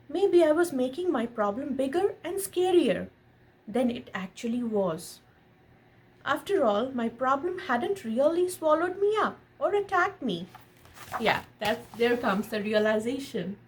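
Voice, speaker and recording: A woman reading a picture book aloud in an expressive storytelling style, pausing to explain and ask questions of a child and viewers. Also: sits high, poor audio. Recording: noticeable background noise.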